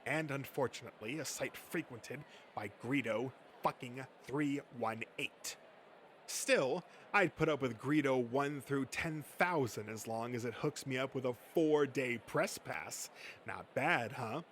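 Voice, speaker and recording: A man's voice: medium pitch, a little slow, fairly bright tone, a little hiss or hum, natural voice. A man voicing a boastful, thin-skinned male comic-book nerd who rants and swears self-importantly in an amateur comic dub. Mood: smug.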